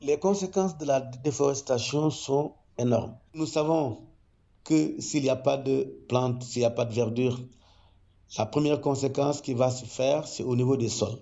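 A man with a gravelly, dark voice explains ecology in a measured, deliberate way, pausing often to find his words. The sound is slightly dull and muffled, as if recorded on modest equipment. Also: medium pitch. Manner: measured, explanatory, with frequent hesitations. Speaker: ecology professor. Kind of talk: interview testimony.

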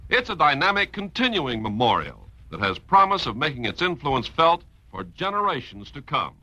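A male announcer with a very bright, forceful voice and animated pitch. He reads formal, declamatory patriotic narration in 1950s radio style. The sound is dry and close. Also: normal speed, dry recording.

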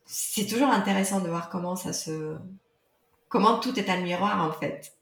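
A woman's voice: lowish voice, normal speed, fairly bright tone, reverberant, poor audio. A woman, not a native French speaker, being interviewed on a podcast as an author, talking hesitantly with many fillers and restarts. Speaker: guest author.

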